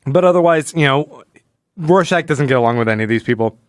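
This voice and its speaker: A man's voice: on the high side, expressive, quick pace, dry recording, studio quality. A man hosting a podcast, chatting casually and analytically, acting out quoted lines as he retells. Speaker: podcast host. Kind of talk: casual comic book analysis chat.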